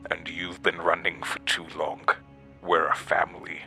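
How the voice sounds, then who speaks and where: Sinister man, very low voice, bright hard edge, slow menacing coaxing, horror audio drama, music underneath. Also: monotone, creaky, exaggerated voice.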